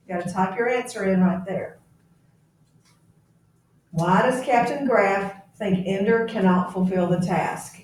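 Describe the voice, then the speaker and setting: A woman teacher reads aloud to a class and checks understanding with questions. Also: fairly high pitch. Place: echoey indoor room. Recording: very echoey room, distant mic.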